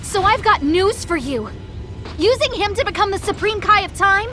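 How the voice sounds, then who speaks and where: High-pitched cocky little goddess. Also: female voice, animated, normal speed, over music. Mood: cocky, defiant. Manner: perky, cocky, exclamatory. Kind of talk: dubbed anime video game acting.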